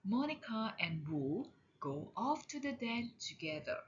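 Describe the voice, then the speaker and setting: A woman narrating a children's picture book in an expressive, deliberate, drawn-out way, as for young children. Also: mid-range voice, dull audio, heavy background noise. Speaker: storyteller, parent reading aloud.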